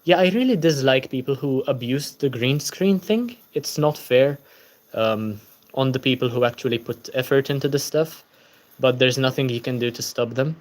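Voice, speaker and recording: A man's voice: mid-range voice, normal speed. A tired young man hosting a casual book-channel livestream, chatting and answering viewer comments.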